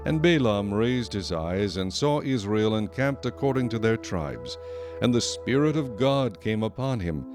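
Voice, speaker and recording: A man reading scripted scripture narration in a measured, solemn storytelling manner. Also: on the low side, expressive, over music.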